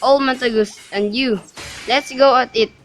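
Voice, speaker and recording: A man's voice: very high voice, fairly even intonation, moderate pace, a bit bright, faint music behind. A man playing a menacing, hot-tempered young villain who taunts his foes, in a noisy amateur student radio drama recording. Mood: threatening.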